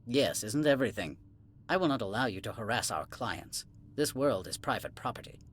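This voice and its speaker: A man's voice: medium pitch, expressive, normal speed, lightly acted. An actor playing a curt, pompous, dismissive male corporate administrator in a video game. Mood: haughty, impatient.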